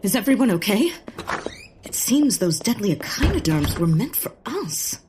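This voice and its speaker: Earnest, proper lady scientist, female actor: mid-pitched, expressive, comic radio play, slight background noise. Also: normal speed, close to an everyday voice. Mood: concerned. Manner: earnest, formal. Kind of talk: comic audio drama acting.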